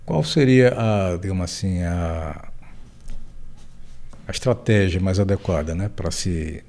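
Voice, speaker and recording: A man with a Brazilian Portuguese accent who hosts the interview, asking his questions haltingly and hedging them. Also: low voice, a little mellow. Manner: halting, hedges questions with "digamos assim". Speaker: interviewer, videocast host.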